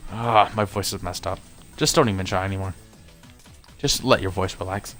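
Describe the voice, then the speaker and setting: A man's voice: deep voice, normal speed, a bit bright, soft background music. A young man giving rambling, casual commentary while streaming a game at home, his voice worn out from a long session. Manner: chatty, rambling, self-correcting. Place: home room.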